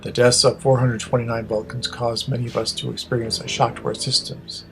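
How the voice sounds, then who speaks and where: Guy acting stoic vulcan captain, formal and precise, medium pitch, sci-fi audio drama, faint music. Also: little pitch movement, a little fast, creaky, lightly acted, low-quality recording. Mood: solemn. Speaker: voice actor.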